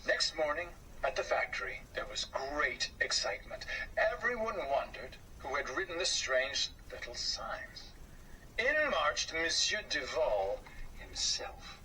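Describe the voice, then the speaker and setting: Expressive man reading a storybook. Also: fairly high pitch, measured pace, recorded from a distance, slight background noise, rough recording. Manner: expressive, drops to a hush. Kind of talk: children's book read-aloud.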